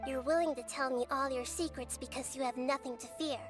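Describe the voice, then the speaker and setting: A woman voicing a small, child-like female deity in a video game, wise, gentle and analytical, with thoughtful, articulate phrasing. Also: high-pitched, steady tone, brisk, over music, lightly acted. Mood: alarmed realization. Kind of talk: video game character acting.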